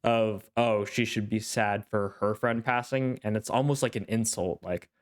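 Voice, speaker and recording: Young male anime host, stuffy-nosed, smooth low-ish voice, rambling casual commentary. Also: steady tone, moderate pace. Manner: thoughtful, rambling, with frequent fillers. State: congested, clearing his throat. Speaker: anime reaction channel host.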